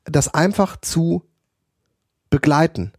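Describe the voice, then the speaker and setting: A man co-hosts a casual podcast, adding short, reflective remarks to the conversation. His voice sits fairly high for a man. The recording is dry and close. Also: animated, takes it slowly, darkish tone, no echo. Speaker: podcast co-host. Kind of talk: casual chat.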